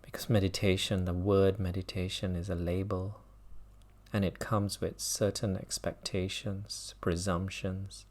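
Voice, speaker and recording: Low-voiced man slowly guiding a meditation. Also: little pitch movement. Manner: gentle, unhurried, with long pauses. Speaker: meditation teacher. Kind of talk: guided mindfulness meditation.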